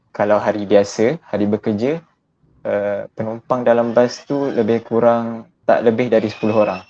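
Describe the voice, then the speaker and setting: A young man in his twenties from Malaysia, a university student answering interview questions politely and in a measured way. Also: lowish voice, mellow. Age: 20s. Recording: dull lo-fi sound.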